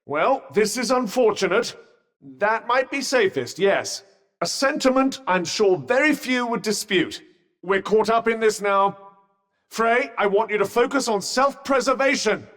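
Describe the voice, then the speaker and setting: A man's voice: high-pitched, lively intonation, a little slow, fairly bright tone, long reverb tail. An actor playing a dry-witted, sardonic male magic bracelet in a video game, speaking with posh understatement. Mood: wry. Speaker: companion character. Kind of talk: game voice lines.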